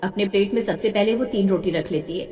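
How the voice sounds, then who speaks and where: A woman's voice: medium pitch, fast talker, dark tone. A woman reading scripted story narration as the narrator. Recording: echoey hall-like reverb.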